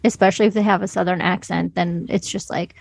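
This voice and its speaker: A woman's voice: on the low side, steady tone, fast, creaky, a little mellow, slight background noise. A woman co-hosting a film podcast, talking in a casual, conversational way. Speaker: podcast co-host discussing films.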